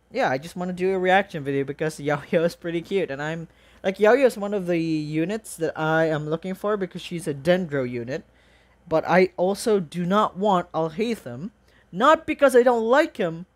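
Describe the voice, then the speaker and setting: A reaction video host chatting casually and excitedly in a rambling, exclaiming, informal way. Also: mid-range voice.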